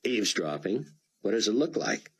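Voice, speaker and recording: A man's voice: lowish voice, big pitch swings, normal speed, husky, pitched above the performer's own voice, exaggerated voice, low-quality recording. A man voicing a dry, teasing middle-aged father in an audiobook. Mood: wry. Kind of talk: audiobook character voice.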